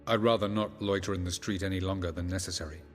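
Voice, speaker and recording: A man's voice: sits low, steady tone, fast, natural voice. An actor playing an aloof, ancient male elf sage who speaks in formal, condescending sentences in a video game. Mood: lofty.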